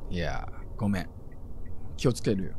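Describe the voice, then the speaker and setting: A man's voice: low voice, lively intonation, normal speed, background noise. An actor playing a blunt, easygoing and impulsive young man, the hometown friend, in a naturalistic radio drama. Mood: apologetic, subdued. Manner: casual, blunt, offhand.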